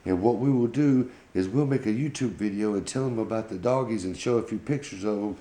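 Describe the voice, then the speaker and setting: A man voicing a calm, caring monkey hero who explains things earnestly in a bedtime story. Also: on the low side, brisk, reverberant, natural voice. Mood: confident. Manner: matter-of-fact, earnest explaining.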